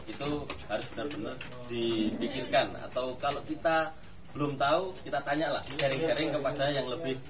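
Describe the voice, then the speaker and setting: Young farmer heard from afar. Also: male voice, mid-range voice, moderate pace. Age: 20s. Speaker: guest, goat and sheep farmer. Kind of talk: casual interview chat. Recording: far from the mic, loud crowd and birds.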